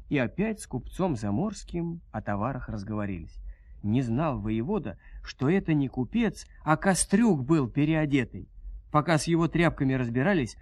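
A man narrating a scripted fairy tale in an expressive, dramatic, folksy storytelling manner. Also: medium pitch, slightly rough, dry recording.

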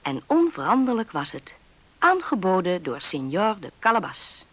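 An actress playing the storyteller of a whimsical fairy-tale radio play, in scripted narration. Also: female voice, on the low side, very animated, moderate pace, a bit bright, husky, heavily acted. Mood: storytelling.

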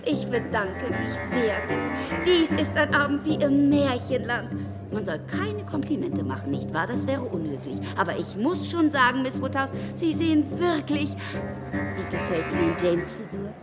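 High-pitched, gushing, chattering spinster. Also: female voice, big pitch swings, moderate pace. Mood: excited flattery. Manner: gushing, breathless rambling, broken-off sentences. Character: effusive, scatterbrained, endlessly chattering kindly spinster. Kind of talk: German radio drama acting. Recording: music playing behind the voice.

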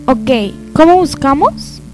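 A woman's voice: high-pitched, animated, slow, a little mellow, faint music behind. A young woman acting as a curious girl searching for answers in an amateur radio drama. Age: young adult.

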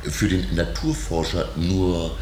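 An actor playing a learned, uneasy professor of natural history in a radio drama, with a lecturing manner that turns hesitant. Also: male voice, low-pitched, fairly even intonation, slow pace, a little mellow. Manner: lecturing, hesitant when nervous. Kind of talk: radio drama dialogue and first-person narration. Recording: roomy sound with heavy background noise.

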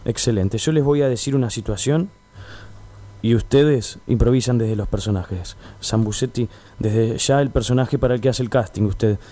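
A man's voice: mid-range voice, moderate pace, warm tone, close to an everyday voice. A man playing a pompous, sarcastic casting director with an Argentine accent in a comic radio play. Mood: dry, commanding. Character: haughty, scornful male casting director.

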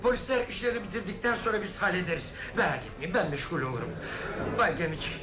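A man acting in a radio play as a flustered, officious helper, talking in a hurried, stammering way. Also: sits high, animated, roomy sound. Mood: flustered, urgent.

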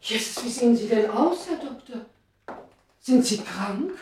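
Fussy deferential housekeeper in a radio play, echoey and off mic, bit of hiss. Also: female voice, fairly high pitch, lively intonation, a little slow, darkish tone. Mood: surprised, worried. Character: concerned, deferential female housekeeper of a doctor. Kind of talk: German radio drama acting. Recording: distant, echoey, slightly noisy radio recording.

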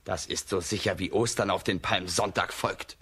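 An actor playing a hard, resolute peasant son in a children's radio play, husky, firm and commanding. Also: male voice, sits low, fairly even intonation, normal speed, fairly bright tone. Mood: stern, threatening.

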